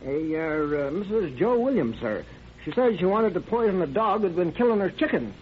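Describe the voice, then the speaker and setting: Casting: nervous, deferential druggist for vintage radio drama; higher-set, very animated, halting and repetitive. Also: male voice. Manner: hesitant, stammering, repeats himself. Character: nervous, fussy, deferential male small-town druggist. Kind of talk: old-time radio drama acting. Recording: dull, noisy old radio recording.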